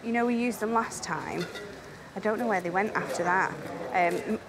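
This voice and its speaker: A woman's voice: mid-range voice, moderate pace, a crowd in the background. A young woman with an English accent, chatting upbeat and laughing easily while setting up an event at a station. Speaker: railway events organiser. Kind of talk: casual on-location chat. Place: outdoor railway station platform.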